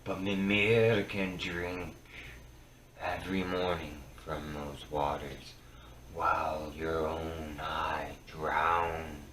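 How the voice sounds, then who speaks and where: A low-pitched man recites myth as a storyteller, solemn and theatrical. He goes extremely slowly, breaking lines into short phrases that move between hushed and booming. The sound is very roomy. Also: flat delivery. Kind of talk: dramatic poetry reading. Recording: roomy, distant mic with faint noise.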